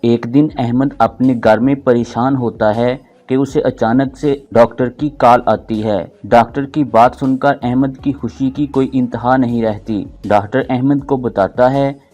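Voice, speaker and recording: A man's voice: medium pitch, steady tone, measured pace, hardly any pauses, a little mellow, lo-fi sound. A man narrating a scripted moral story as storyteller.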